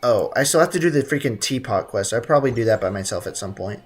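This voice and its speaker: A man's voice: medium pitch, animated, quick pace, husky, soft background music. Blunt, teasing male game streamer. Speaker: livestream host. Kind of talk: casual game streaming commentary, reading chat.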